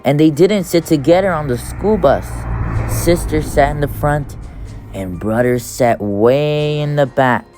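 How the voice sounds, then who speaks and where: Low-pitched woman reading a children's storybook. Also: unhurried, warm tone, soft background music. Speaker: audiobook reader.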